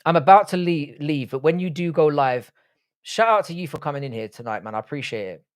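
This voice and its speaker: A man's voice: on the high side, animated, a little fast. A man hosting a casual livestream, reading chat aloud and bantering, swearing freely.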